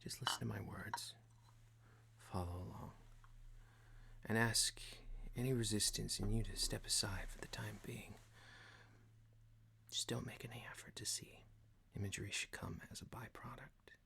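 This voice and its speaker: Guy playing a gentle boss guy doing asmr guided meditation, low breathy whispery voice with gravelly fry, soothing. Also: steady tone, full character voice. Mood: soothing, instructive. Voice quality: breathy, hushed. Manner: slow, deliberate, gentle.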